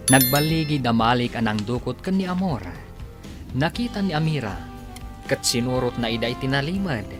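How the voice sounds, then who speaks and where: A male radio drama announcer narrates in a theatrical scripted style. His pitch is mid-range and moves in lively swings, with clear music playing behind him.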